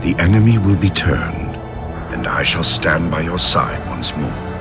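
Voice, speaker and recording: An actor playing an ancient, imposing alien warlord who speaks slowly and solemnly in a video game. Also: male voice, low voice, lively intonation, gravelly, loud music behind the voice, full character voice. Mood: solemn, reassuring. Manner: slow, solemn.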